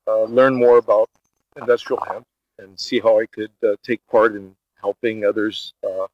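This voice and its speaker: A man's voice: lowish voice, dry recording. Hesitant Brazilian-born man, dry and dull. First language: Brazilian Portuguese. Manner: hesitant, many filler uhs. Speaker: podcast guest. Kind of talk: casual interview talk.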